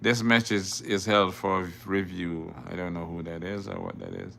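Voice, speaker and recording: Deep gravelly man from Jamaica. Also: lively intonation, normal speed, bright, forceful tone, slight background noise. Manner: reads viewer questions aloud, then answers them plainly; repeats words and restarts often. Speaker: driving instructor. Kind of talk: casual livestream Q&A.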